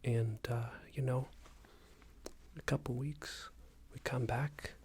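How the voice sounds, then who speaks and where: A man doing an ASMR roleplay as a passive-aggressive company boss, speaking in a soft, breathy whisper with hesitant, patronising phrasing. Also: medium pitch, fairly even intonation, slow, a little hiss or hum. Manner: hesitant, repetitive, patronising workplace talk. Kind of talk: ASMR roleplay, improvised monologue.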